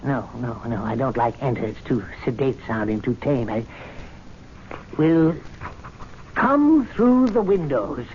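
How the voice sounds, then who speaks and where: An actor playing an indignant, fussy, excitable club servant in an old radio drama, given to muttering and theatrical outbursts. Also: male voice, mid-range voice, unhurried, pauses often, lightly acted. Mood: scheming, excited. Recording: muffled, noisy old radio drama recording.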